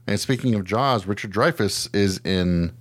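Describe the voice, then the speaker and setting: A middle-aged American man co-hosting a podcast, chatting casually about movies in a slangy, animated, profane way. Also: on the low side, normal speed. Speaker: podcast co-host.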